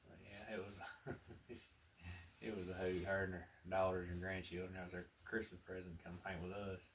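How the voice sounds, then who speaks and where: Southern guy doing a painting tutorial, low voice, chatty and folksy, kinda far from the mic, noisy recording. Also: little pitch movement. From American South. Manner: folksy, unhurried, murmuring asides to himself. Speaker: painting instructor. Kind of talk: relaxed painting tutorial with casual chat. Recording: distant mic with clear background noise.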